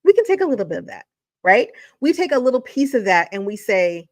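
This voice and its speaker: A woman's voice: on the high side, big pitch swings, a little fast, no room sound, studio quality. A woman educator in her forties or fifties giving emphatic, motivational video commentary, repeating phrases for effect. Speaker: educator and channel host.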